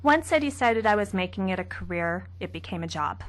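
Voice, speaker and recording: A young woman author answering questions in a radio interview, soft-spoken with a gentle, light manner. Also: medium pitch, lively intonation, a little fast. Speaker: author guest.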